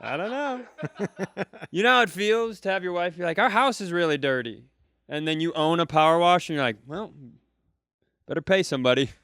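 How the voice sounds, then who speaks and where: A man hosting a comedy podcast, telling a story in a casual, animated way and slipping into other people's voices. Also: sits high, normal speed, long pauses, no room sound. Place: live venue, close mic.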